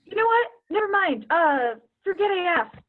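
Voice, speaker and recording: A woman's voice: very high voice, sing-song, normal speed. A young woman acting in a play as an awkward, evasive teenage girl with a hesitant, defensive way of talking. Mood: nervous, awkward. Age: young adult. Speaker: student actor in a school play. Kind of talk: one-act play acting. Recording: tinny phone-like line.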